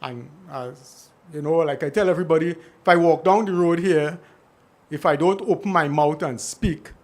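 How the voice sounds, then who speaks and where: Middle-aged Trinidadian man, keynote speaker, chatty and direct with the audience, a touch high-pitched. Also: moderate pace, a little mellow. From Trinidad and Tobago. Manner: conversational, talking to the audience, asks the room questions. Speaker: invited speaker. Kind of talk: conference keynote presentation.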